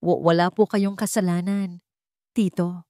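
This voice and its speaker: A woman's voice: lowish voice, steady tone, unhurried, mellow, dry recording, studio quality. A woman voicing a humble, wronged young wife in a dramatic audio storybook, polite, hesitant and earnest. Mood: shaken.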